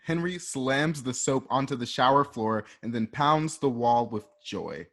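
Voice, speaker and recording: A man's voice: medium pitch, lively intonation, a little slow, fairly bright tone. A man narrating a radio drama, reading out scene directions step by step in the present tense.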